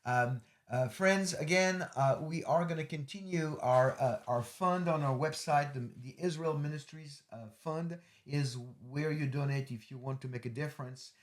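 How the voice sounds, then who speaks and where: A man's voice: fairly high pitch, moderate pace, few pauses. A middle-aged man hosting a ministry podcast, closing an interview with rambling, filler-heavy but earnest appeals. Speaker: host, ministry leader.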